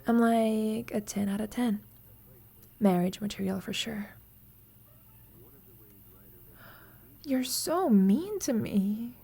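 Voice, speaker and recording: A woman playing a teasing, affectionate young roommate with a secret crush in an ASMR roleplay, speaking softly and breathily, often in a whisper, with playful, tender gentleness. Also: fairly high pitch, gravelly, breathy edge, exaggerated voice. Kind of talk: ASMR roleplay, intimate sleep-aid audio.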